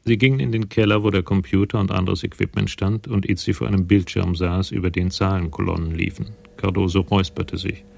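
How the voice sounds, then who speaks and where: An actor narrating a radio crime play soberly and matter-of-factly. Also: male voice, sits low, fairly even intonation, fairly quick, vocal fry, few pauses, darkish tone, heavily acted. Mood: sober narration.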